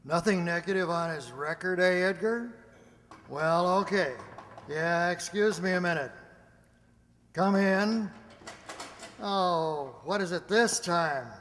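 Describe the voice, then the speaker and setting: A man's voice: high-pitched, slow, pauses often, a bit bright, a little hiss or hum. A man playing a condescending, skeptical security supervisor in a radio drama, brusque and easily flustered. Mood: businesslike, dismissive. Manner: brusque, patronizing, flustered stammering. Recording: reverberant hall-like amateur recording.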